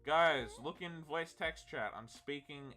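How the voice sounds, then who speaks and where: A man's voice: fairly high pitch, slow pace, pushed and bright, faint music behind. A young Australian man streaming a game and bantering drily with friends over voice chat. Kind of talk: casual gaming chat with friends.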